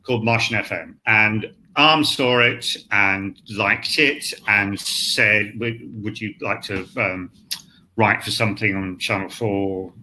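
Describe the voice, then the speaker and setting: A man's voice: on the low side, fairly even intonation, slow, pushed and bright, a little hiss or hum, poor audio. A man who is a scriptwriter guest on a panel, talking in a blunt, rambling way and restarting his phrases, over a video call.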